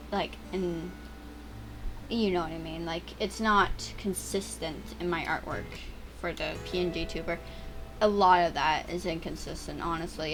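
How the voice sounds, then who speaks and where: A teenage girl chatting and stammering through gameplay commentary on her own channel, with a voice she calls a little deeper and rougher over time. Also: a bit bright, a little hiss or hum, poor audio. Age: teens. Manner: chatty, stammering, restarts words. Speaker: gaming YouTuber.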